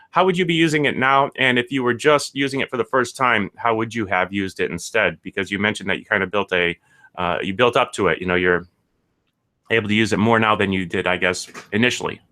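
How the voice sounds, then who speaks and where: A man's voice: on the low side, sharp tone. A man hosting a podcast, asking his guest questions with a few hesitant fillers. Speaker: podcast host. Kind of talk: interviewing a guest.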